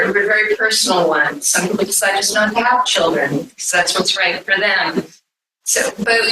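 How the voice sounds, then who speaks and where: A woman's voice: medium pitch, far from the mic. A woman hosting an event, giving an informal welcome speech to an audience. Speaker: event host introducing presenters. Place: echoey indoor conference room. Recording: echoey room, picked up from afar.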